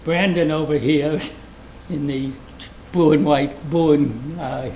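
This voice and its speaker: A man's voice: on the high side, steady tone, slow, mellow, a little hiss or hum. A man from Boston with a Boston accent, giving a folksy, joking acceptance speech to an audience at an event. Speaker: award acceptance speaker, trail maintainer. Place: event hall before an audience.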